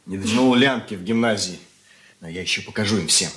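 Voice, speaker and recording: Low-voiced, brooding young schoolboy played by a man. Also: fast talker, a bit bright, lightly acted. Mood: bitter, determined. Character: brooding, wounded, defiant schoolboy son Alexei. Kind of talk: Soviet radio drama acting.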